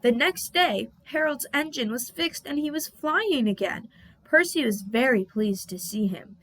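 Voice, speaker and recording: A woman reading a children's storybook aloud as an expressive narrator. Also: high-pitched, a little slow, rough recording. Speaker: storybook reader.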